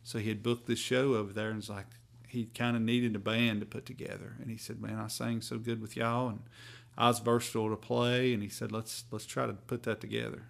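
A musician from North Carolina with a Southern accent, telling stories in a folksy, rambling way during a hotel-room interview. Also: male voice, lowish voice, little pitch movement, gravelly. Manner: rambling, folksy, drawn-out phrasing. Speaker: bluegrass musician guest. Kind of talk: casual storytelling interview.

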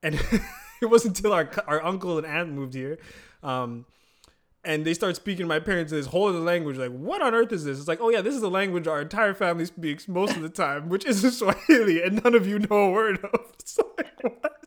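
A man's voice: high-pitched, brisk. A man in his twenties, co-hosting a podcast, telling family stories in casual chat and laughing often. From born in Zambia, family from Congo. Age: 20s. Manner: laughs often, animated storytelling. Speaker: podcast co-host.

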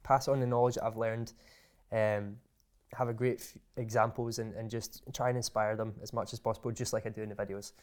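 A man's voice: on the low side, level tone, fairly quick, darkish tone. A man chatting as an interview guest. He hesitates often and repeats his words as he restarts his sentences. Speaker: guest, aspiring motivational speaker. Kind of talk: casual interview chat.

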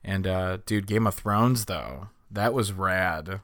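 A man's voice: sits low, slow, a bit bright, no room sound, studio quality. A young man co-hosting a podcast, chatting eagerly and filling his talk with "dude" and "like.". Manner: enthusiastic, lots of "dude" and "like". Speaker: podcast co-host. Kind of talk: casual podcast chat. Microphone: podcast microphone.

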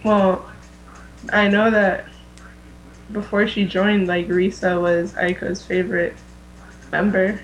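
A woman's voice: medium pitch, level tone, takes it slowly, long pauses. A woman co-hosting a podcast in casual chat, informal and peppering her talk with filler phrases, over a noisy internet call. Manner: informal, frequent "like" and "I mean".

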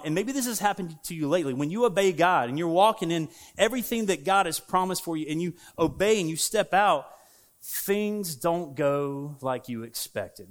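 Southern-accented man preaching casually. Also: fairly high pitch. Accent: Southern American. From United States. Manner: casual, conversational preaching with fillers and asides. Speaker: pastor. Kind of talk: sermon. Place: church sanctuary.

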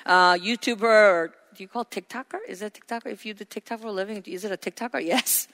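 A woman's voice: mid-range voice, quick pace, fairly bright tone. A woman pastor preaching a sermon, earnest and asking her congregation questions. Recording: hall reverb.

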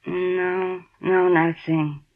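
A woman's voice: on the low side, level tone, slow pace. An actress playing a chatty, flustered middle-aged woman who manages an apartment building. She rambles, sounds defensive and repeats herself, in a 1950s radio drama. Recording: dull lo-fi 1950s radio broadcast.

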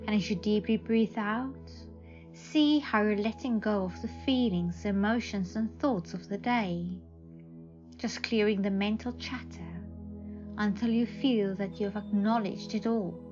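Slow, soothing woman meditation guide. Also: medium pitch. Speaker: psychic advisor, meditation guide. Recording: dull lo-fi audio under music. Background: prominent meditation music.

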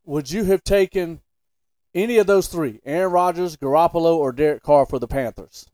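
A man's voice: fairly high pitch, moderate pace, dark tone, dry recording. A man co-hosting a sports podcast, debating casually in a blunt, opinionated and emphatic way.

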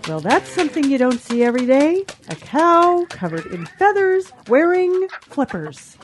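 A woman's voice: high voice, sing-song, measured pace, faint music behind. A woman voicing a fussy, matter-of-fact hen in a children's audio drama. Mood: dry, disapproving. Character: dry, fussy hen in a sweater.

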